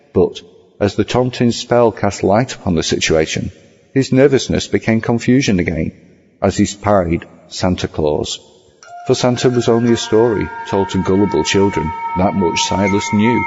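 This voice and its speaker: A man reading a scripted horror story as narrator, in an ornate, measured storytelling manner. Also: low-pitched. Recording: slightly dull audio over music. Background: music.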